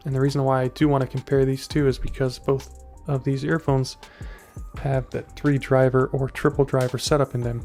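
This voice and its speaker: A man's voice: medium pitch, a little mellow. A man hosting a casual product review, talking conversationally and directly to his viewers. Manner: conversational, addresses viewers as guys. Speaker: tech reviewer, channel host. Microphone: USB desktop condenser microphone.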